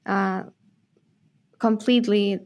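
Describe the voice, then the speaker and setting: A woman's voice: mid-range voice, fairly even intonation, slow pace, darkish tone. A woman from Chile hosting an interview, chatting casually with many fillers as she sets up her questions. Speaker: interviewer, host.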